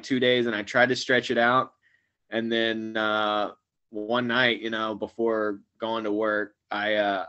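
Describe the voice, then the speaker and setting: A man in his forties, a guest actor and comedian, chatting casually over a webcam call from a small room at home, rambling and trailing off quietly at the ends of phrases. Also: lowish voice, highs cut off. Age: 40s. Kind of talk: casual video-call chat. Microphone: webcam video call.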